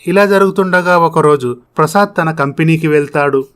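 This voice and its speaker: Casting: narrator for scripted moral tales, mid-range pitch, telling the story between dialogue. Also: normal speed, fairly bright tone, a little hiss or hum.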